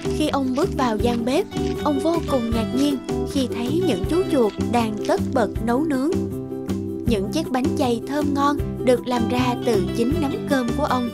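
A woman narrates a children's folktale in a high voice. The delivery is scripted storytelling, and music plays clearly underneath. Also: normal speed. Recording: music behind the voice.

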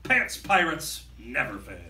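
A man voicing an excitable, bossy pirate captain from a children's storybook, loud and commanding, in an echoey classroom. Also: fairly high pitch, measured pace, distant mic, heavily acted. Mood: rousing. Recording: echoey classroom from afar, faint noise.

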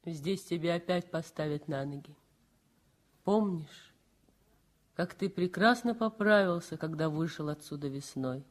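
An actress playing a young nurse and loving wife in a 1960s radio play, speaking in a soothing, pleading way. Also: female voice, deep voice, a little slow.

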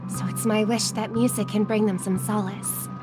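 A woman playing a gentle, polite young singer in a video game, speaking softly and with measured care. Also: mid-range voice, level tone, over music, close to an everyday voice. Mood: thoughtful, concerned. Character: gentle young female pop singer, caring, polite, thoughtful. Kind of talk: video game story acting.

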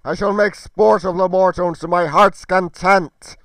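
Man acting a pompous, booming fire demigod villain. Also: fairly even intonation, a little slow, fairly bright tone, no echo. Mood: grandiose menace. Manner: pompous, declamatory, archaic speech. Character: arrogant, wrathful fire demigod, half giant, boastful villain. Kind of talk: theatrical villain monologue in amateur game roleplay.

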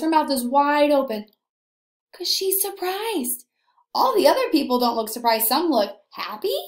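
A young woman teacher leading a read-aloud lesson for young children, animated and encouraging, asking questions and pausing for answers. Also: high-pitched. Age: young adult. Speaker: early elementary teacher. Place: indoor room, echoey. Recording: echoey room.

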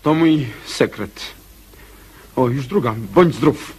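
An actor playing an easygoing, confident young gentleman in a radio play. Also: mid-range voice, animated, takes it slowly, husky. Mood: assured. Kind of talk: radio drama acting.

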